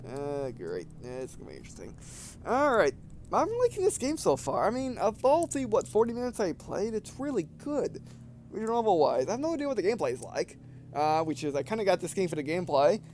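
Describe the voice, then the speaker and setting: A young man with a distinctly high voice comes through over clear noise and hum. He talks like a casual game streamer, halting and doubling back to repeat his phrases. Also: wildly swooping pitch, darkish tone. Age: 20s. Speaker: gaming video host. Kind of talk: casual let's play commentary, reading game text aloud.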